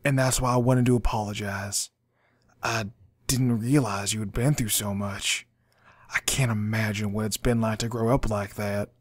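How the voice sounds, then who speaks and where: Guy playing earnest young man in a fan audio drama, gentle, sincere, close dry sound. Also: lowish voice, fairly even intonation, normal speed, no room sound, close to an everyday voice. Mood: hushed, sorrowful.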